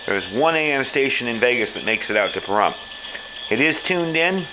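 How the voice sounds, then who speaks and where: A man's voice: mid-range voice, expressive, moderate pace. Casual man reviewing gear, noisy recording. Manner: conversational, informal. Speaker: product reviewer. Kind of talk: casual hands-on product review. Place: indoors, small room at a tabletop. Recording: clear background room noise.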